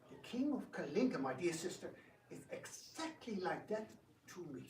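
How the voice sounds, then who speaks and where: A man's voice: sits high, big pitch swings, moderate pace, a little mellow. A man playing a proud, scheming prince who feigns gracious courtesy in a theatrical reading. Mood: effusively gracious. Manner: florid, exaggerated courtesy. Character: proud, scheming Indian prince, a grandfather, falsely gracious host. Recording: echoey room, distant mic, background noise.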